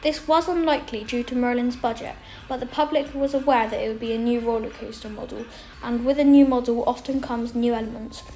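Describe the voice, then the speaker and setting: A woman narrates a scripted documentary. Her voice sits fairly high, and soft music plays behind her as she reads. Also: steady tone, fairly quick, poor audio.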